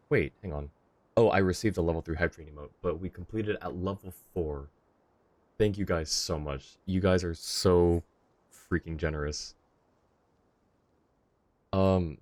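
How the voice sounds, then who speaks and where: A man's voice: sits low, measured pace, pauses often, a little mellow, no echo. A young man streaming a game live, commenting casually and chatting with his viewers.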